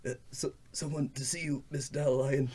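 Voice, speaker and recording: Timid gangster's assistant, very deep for a woman, courteous, faltering delivery, comic radio play. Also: fairly even intonation, moderate pace, dark tone, slight background noise. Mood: meek, anxious. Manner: deferential, hesitant. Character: timid, polite gangster underling. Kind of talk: comic radio drama acting.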